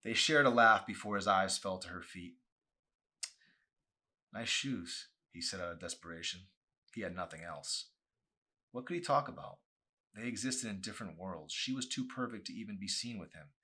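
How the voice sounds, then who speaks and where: A man reading a novel aloud as expressive narration, with an animated, emphatic delivery. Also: deep voice, a little fast, slightly rough. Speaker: author reading own novel.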